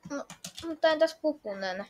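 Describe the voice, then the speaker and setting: High female voice, gameplay chat, excitable, dramatic exclamations, pleading. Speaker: gamer playing and reacting.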